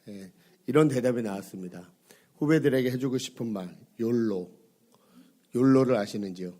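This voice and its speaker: Hoarse middle-aged pastor preaching. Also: male voice, mid-range voice, normal speed, mellow. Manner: conversational, many fillers, asks the congregation questions. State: hoarse from a cold. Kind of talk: sermon. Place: church sanctuary.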